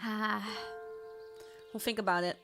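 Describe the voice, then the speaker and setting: A woman streamer telling stories in casual chat, animated, jumping to squealed quotes. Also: medium pitch, measured pace, vocal fry, loud music behind the voice. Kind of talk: casual chat, recapping a trip.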